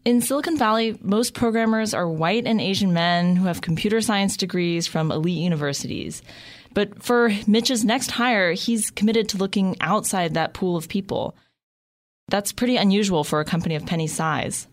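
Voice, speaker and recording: A woman's voice: medium pitch, normal speed. A woman co-hosting a podcast, reading scripted narration with a wry, conversational delivery. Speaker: podcast co-host.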